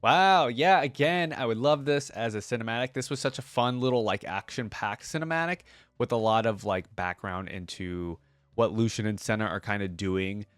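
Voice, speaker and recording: A man's voice: mid-range voice, a bit bright, dry recording. A man reading a story aloud and chatting casually as a reaction video host.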